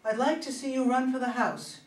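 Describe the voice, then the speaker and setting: A woman playing a confident, smooth-talking young attorney general in an amateur play reading, poised and self-assured. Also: high voice, brisk, darkish tone, natural voice. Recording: distant, echoey room, faint noise.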